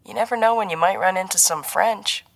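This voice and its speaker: A woman's voice: mid-range voice, brisk, creaky, dry recording, voice pushed up. A woman narrator plays a male intelligence handler in an audio spy novel. He is mild, dry and bantering, with a close, roomless sound. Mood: teasing, mock innocent. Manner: bantering, mild, turning businesslike.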